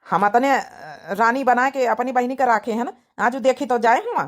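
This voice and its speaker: Woman playing protective young village brother, hot-headed, Awadhi accent, fairly high voice, argumentative cartoon delivery. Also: normal speed, natural voice. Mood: worried, determined. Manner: insistent, argumentative, colloquial. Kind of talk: cartoon family comedy drama voice acting.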